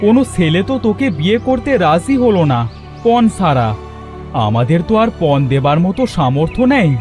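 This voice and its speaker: Man acting a plaintive, high-pitched village father. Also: very animated, moderate pace, darkish tone, soft background music. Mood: pleading. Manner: plaintive, pleading. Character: poor, worried village father, middle-aged. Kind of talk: Bengali cartoon voice acting.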